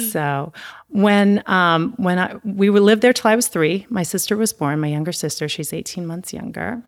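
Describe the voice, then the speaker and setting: A woman's voice: medium pitch, lively intonation, slow, long pauses. A middle-aged woman from Taiwan and Long Island telling her family story as an interview guest, chatty and laughing. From born in Taiwan, raised on Long Island.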